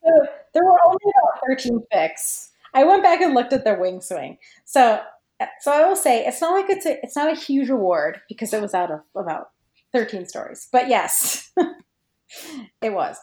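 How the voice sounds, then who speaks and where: A woman's voice: high voice, sing-song, normal speed, dark tone. A woman guest on a podcast, a fan fiction writer, talking casually and explaining things in an informal way. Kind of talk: casual discussion. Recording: roomy, echoey sound.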